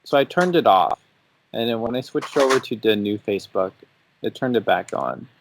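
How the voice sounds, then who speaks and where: A man's voice: medium pitch, expressive, normal speed, gravelly, slight background noise, low-quality recording. A twenty-year-old man chatting casually as a podcast host, with a joking, teasing way of asking questions. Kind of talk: casual chat.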